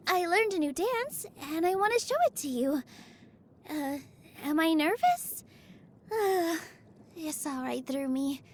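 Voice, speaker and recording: High, sweet young dancer. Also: female voice, slight background noise. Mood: shy, earnest. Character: sweet, earnest young woman dancer, shy and kind. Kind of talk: video game character voice lines. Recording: music behind the voice.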